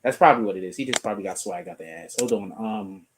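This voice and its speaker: A man from the Bronx, hosting a relaxed live stream. He talks loosely and swears freely, thinking out loud as he reacts to news stories and clicks around the screen. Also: lowish voice, quick pace, a little hiss or hum. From the Bronx, New York. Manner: loose, profane, talks while clicking through pages. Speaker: streamer host. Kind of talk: casual commentary on news.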